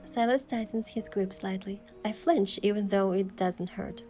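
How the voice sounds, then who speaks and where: Female actor as young romance game heroine: medium register, soft storytelling, teasing and affectionate, faint music bed. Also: mid-range voice, normal speed, lightly acted. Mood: tender. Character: playful, affectionate young heroine narrating her own romance. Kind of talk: romance game narration and dialogue.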